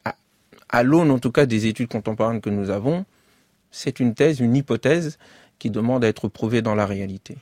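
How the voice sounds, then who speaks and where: A man of middle pitch speaks as an interview guest, working through his points slowly with frequent hesitations and restarted phrases. His voice is captured close up in a dry, dead studio with no room sound. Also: a little mellow, no room sound. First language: French. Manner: hesitant, many fillers and restarts. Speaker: author and guest. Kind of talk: measured interview talk.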